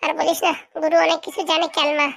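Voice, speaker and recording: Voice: high-pitched, monotone, fast talker, creaky, a bit bright. A performer voicing an eager, fawning young village boy who trails after his boss in a comic cartoon. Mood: chatty. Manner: deferential, chatty, fawning.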